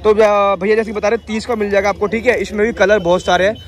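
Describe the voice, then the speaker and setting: A man's voice: high voice, little pitch movement, fast talker. Young man vlogging through a market. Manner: chatty, repeats prices to camera. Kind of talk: handheld market walkthrough vlog. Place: busy outdoor street market. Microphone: phone held at arm's length.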